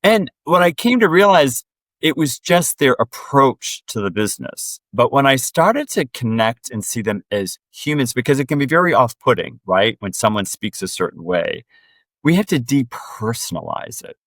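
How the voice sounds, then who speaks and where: A man speaking as a podcast guest in an earnest, direct way, sharing reflections in relaxed conversation and quickening when he presses a point. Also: mid-range voice, no echo.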